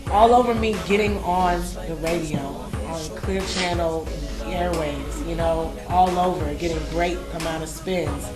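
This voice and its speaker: A woman with a slightly low voice conducts a casual interview. The recording is echoey, and music plays clearly behind her informal questions. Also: a little slow, hardly any pauses.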